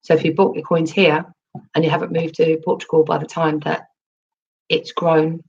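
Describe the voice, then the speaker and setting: Female livestream host, low husky voice, chatty and rambling, dull lo-fi mic. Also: level tone, moderate pace. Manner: chatty, rambling, with frequent ums and restarts. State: recovering from losing her voice. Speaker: crypto livestream host reading chat. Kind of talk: casual livestream chat.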